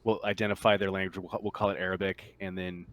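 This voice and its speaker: A man's voice: low voice, flat delivery, fast talker, a bit bright. A man running a tabletop game as game master, narrating casually and off the cuff in a conversational, hesitant way.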